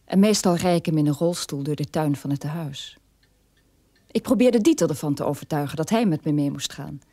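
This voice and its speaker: An actor playing a middle-aged, estranged son in a radio drama, telling his story in a measured way. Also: on the high side, warm tone, dry recording. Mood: resigned, estranged.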